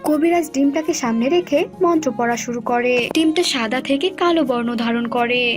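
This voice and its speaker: Woman narrator, high voice, scripted fairy-tale storytelling, faint music. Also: fairly quick.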